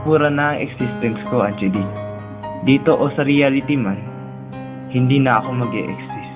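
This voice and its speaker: A man with a Filipino accent playing a gentle, lovelorn young man who speaks earnestly and tenderly in a romantic radio drama. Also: mid-range voice, normal speed, narrow, tinny audio, natural voice. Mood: regretful. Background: music.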